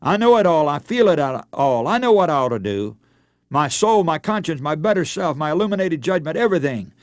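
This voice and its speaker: A man voicing an overwhelmed, evasive Roman governor in a radio sermon, pleading in agitated, halting short exclamations. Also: fairly high pitch, very animated, no echo, clean studio sound. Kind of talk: acted quote within a radio sermon.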